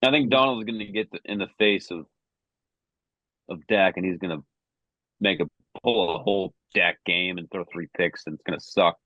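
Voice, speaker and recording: Low-voiced man on a tinny call line. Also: normal speed, no room sound. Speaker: podcast co-host. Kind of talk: casual sports chat. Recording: tinny phone-like remote line.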